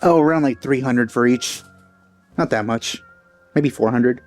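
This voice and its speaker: A man's voice: mid-range voice, unhurried, darkish tone. A man commenting casually on a game as a let's play host, in a wry, offhand way. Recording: game music behind voice. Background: game music.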